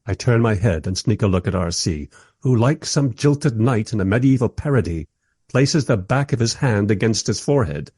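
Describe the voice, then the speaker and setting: A man's voice: on the low side, animated, moderate pace, a little mellow, breathy edge, no echo, studio quality. An actor playing a wry modern filmmaker who narrates his own story in a scripted radio play. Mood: wry, observant.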